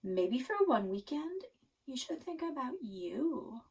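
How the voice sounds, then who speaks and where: A woman's voice: high voice, very animated, slow pace, a little mellow, husky, distant mic, voice pushed up, exaggerated voice. A woman voicing a scheming, dramatic teenage girl with a wheedling, falsely resigned manner in a storybook. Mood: coaxing. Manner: theatrical, casual teen phrasing.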